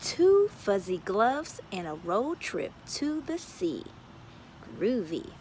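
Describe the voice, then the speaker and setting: A woman reads a picture book aloud for children with a very animated, swooping voice. Her pace is unhurried, with words drawn out in a playful sing-song. Some background ambient noise sits behind her. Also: medium pitch, poor audio. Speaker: read-aloud story time host.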